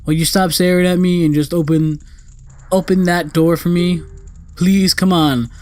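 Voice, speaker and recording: A man's voice: high voice, fairly even intonation, moderate pace, darkish tone, no room sound, pitched above the performer's own voice, lightly acted. Man reading as a bossy little fairy girl. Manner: scolding, demanding.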